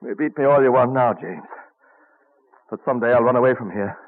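A man playing a cheeky printer's apprentice, young and defiant. He speaks at a middling male pitch with sly, bold declamation. The sound is the thin, muffled band of an old radio play. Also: brisk, close to an everyday voice. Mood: proud, defiant. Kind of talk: old-time radio drama acting.

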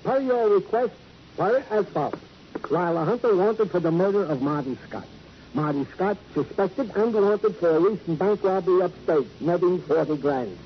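Comic sidekick cop, American, fussy and officious, higher voice, old noisy radio drama. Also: male voice, expressive, moderate pace. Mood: officious, businesslike. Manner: pompous, mock-formal, officious. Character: fussy, pompous, comic male police sergeant sidekick. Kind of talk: 1950s radio drama acting. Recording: dull, noisy vintage radio recording.

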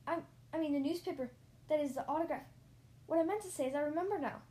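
Male mouse writer for storybook, played by a woman: high-pitched, timid, dramatic, easily flustered and exclamatory. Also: expressive, unhurried, darkish tone. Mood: stammering, flustered. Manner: whimpering, stammering, exclamatory.

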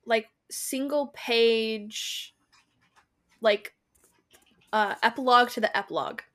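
A woman's voice: fairly high pitch, slow pace, a bit bright. A woman co-hosting a book club podcast, talking casually and chattily, often saying "like" as she goes.

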